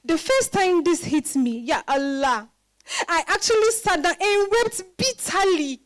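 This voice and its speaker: Woman acting high-voiced, expressive wife telling her story. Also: unhurried. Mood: weary, rueful. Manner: expressive storytelling with dramatic emphasis. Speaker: actor in a dramatized reading. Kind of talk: dramatized first-person literary monologue.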